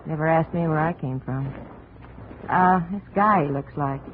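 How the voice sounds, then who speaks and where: An actress playing a fugitive's guarded, plain-spoken girlfriend, blunt and halting, in 1950s radio drama style. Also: sits low, close to an everyday voice. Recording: very noisy, tinny old radio recording.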